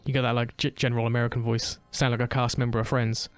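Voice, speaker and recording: A man giving casual gameplay commentary as a let's play host, with a relaxed, quick, slightly mumbly way of talking. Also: mid-range voice, soft background music.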